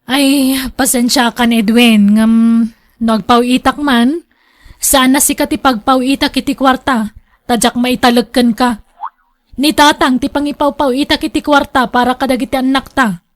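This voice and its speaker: A woman's voice: sits high, fairly even intonation, moderate pace, close to an everyday voice. A woman with a northern Philippine accent playing a firm, blunt working wife and mother in a radio drama. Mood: guarded, firm. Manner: blunt, scolding.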